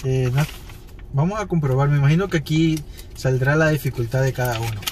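A man's voice: mid-range voice, a little fast, a little mellow, slight background noise. A man in his thirties chatting casually to viewers while unboxing toys in a parked car at night. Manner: chatty, upbeat, talks straight to viewers. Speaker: toy collector vlogger.

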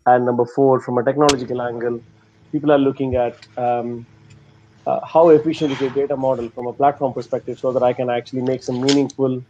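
A man's voice: mid-range voice, little pitch movement, fast, warm tone, highs cut off, a little hiss or hum. A man answering as a panelist in a webinar over a video call, laying out numbered points with many "uh"s. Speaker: panelist, software specialist.

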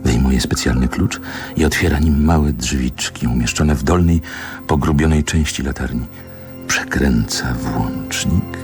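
A very deep, low male voice in a literary radio play. He is a reflective man looking back on childhood, speaking in measured, poetic phrases with wistful pauses. Music plays clearly behind him. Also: heavily acted. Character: reflective man recalling his Warsaw childhood, wistful and lyrical. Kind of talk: radio drama monologue, literary narration.

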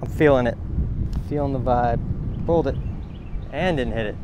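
A man's voice: medium pitch, moderate pace. A young man talking himself through a round of golf on an outdoor course, upbeat and wry. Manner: upbeat, self-coaching, wry. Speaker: golf vlogger. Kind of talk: casual on-course commentary. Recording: outdoor noise on camera mic.

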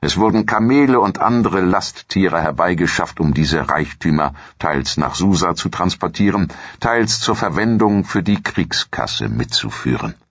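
A man narrates a history documentary in an extremely deep, gravelly voice. His scripted delivery is measured and solemn. The sound is dry, with a dull, muffled top end. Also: expressive, a bit bright, no echo.

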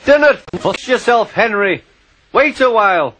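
A man voicing a hardy male engine, brisk and cheerful. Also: sits high, animated, sharp tone, voice pushed up, full character voice, low-quality recording. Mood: hearty. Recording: music playing under the voice.

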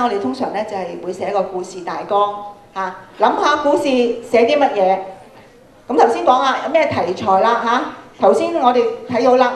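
Echoey, higher-pitched Hong Kong Cantonese woman lecturing. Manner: questioning, prompts listeners with frequent tag questions. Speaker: workshop speaker, radio programme producer. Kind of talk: interactive lecture to schoolchildren. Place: large school auditorium, indoors. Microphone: handheld microphone, filmed from audience. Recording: echoey hall sound from PA.

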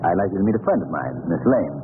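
An actor playing a suave, composed gentleman detective in a 1930s radio drama. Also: low-pitched, very animated, racing. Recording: noisy, tinny 1930s radio recording.

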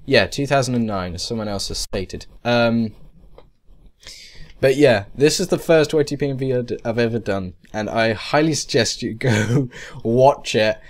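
A man narrating a software tutorial casually, in a rambling, hesitant, self-deprecating way. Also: mid-range voice, measured pace. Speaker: tutorial presenter. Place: indoors, screen-recording voice-over.

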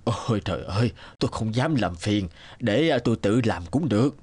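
A man's voice: fairly low pitch, expressive, moderate pace, darkish tone, close to an everyday voice. A man playing a humble, injured old traveller in a cartoon, speaking hesitantly and apologetically, with groans. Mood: pained, humble. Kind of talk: cartoon dubbing.

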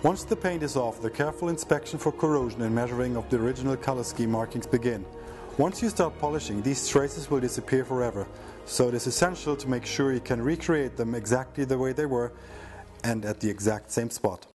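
A man's voice: mid-range voice, fairly quick, music in the background. A middle-aged man giving scripted voice-over narration about his own restoration project.

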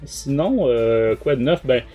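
Podcast host: man, Québécois French accent, average pitch, informal and halting, faint music bed. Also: animated, dark tone. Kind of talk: casual talk show chat.

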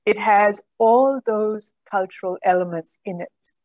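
A middle-aged woman, a guest on a video call, explaining in a measured way. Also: medium pitch, darkish tone, no echo, low-quality recording. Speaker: guest, program director.

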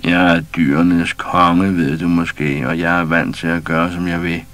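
A man voicing a proud, hungry male lion who drawls slowly and smugly in a storybook reading. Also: deep voice, flat delivery, fairly bright tone. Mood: smug menace. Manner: slow, drawling, smug. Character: slow hungry male lion, king of beasts.

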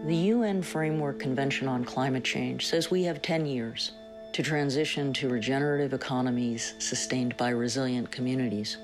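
Very low-voiced woman narrating formally. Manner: measured, formal. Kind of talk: scripted narration. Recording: voice-over under music. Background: music.

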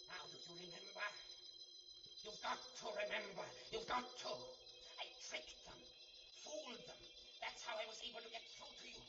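The ghostly voice of a dead scientist, played by a man, pleading urgently from far away. It rings in a long, hollow echo with loud music swelling under a dull, old radio-play sound. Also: sits high, moderate pace, lightly acted. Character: dead professor's ghostly voice calling from beyond.

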